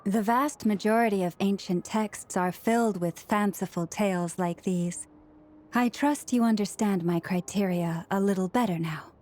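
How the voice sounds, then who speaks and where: A woman playing a composed, analytical scholar in an anime game, speaking in a measured, formal way. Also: mid-range voice, darkish tone, close to an everyday voice. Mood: matter-of-fact.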